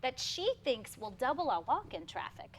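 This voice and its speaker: An actress as a playful 1950s wife chatting in a stage play. Her voice is high, bright and expressive, swinging widely as she teases. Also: normal speed, slight background noise. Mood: chatty, playful. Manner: teasing, expressive. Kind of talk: conversational staged play reading.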